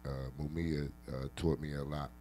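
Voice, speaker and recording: A middle-aged man speaking in a halting, earnest, reflective way in a casual radio conversation, often repeating his words. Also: rumbling low, level tone, mellow, soft background music. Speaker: interview participant, former prisoner. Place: radio interview room.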